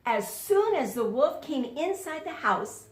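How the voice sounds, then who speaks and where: A woman's voice: sits high, big pitch swings, a little slow. A woman teacher giving a read-aloud lesson for children, telling the story expressively and putting questions to her listeners. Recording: roomy, echoey sound.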